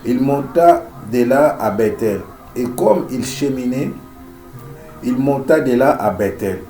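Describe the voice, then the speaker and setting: A man's voice: mid-range voice, lively intonation, slow pace, mellow. Male preacher with a head cold, emphatic and repetitive, faint music behind. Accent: Central African French. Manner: emphatic, repeats key phrases, frequent amen and alleluia. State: has a cold. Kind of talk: sermon.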